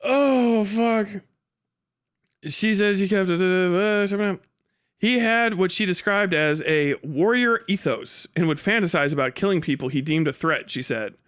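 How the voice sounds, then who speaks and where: A man's voice: sits high, unhurried, a bit bright, smooth. A man hosting a livestream, reading aloud and riffing in a casual, incredulous, foul-mouthed way. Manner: acts out mocking imagined dialogue. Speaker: livestream host and commentator.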